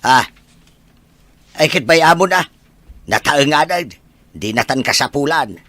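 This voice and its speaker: A man's voice: fairly high pitch, animated, unhurried, bright, forceful tone, no room sound. A man with an Ilocano accent acting an elderly, grumbling grandfather in an audio drama. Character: elderly grandfather, grumbling about his son.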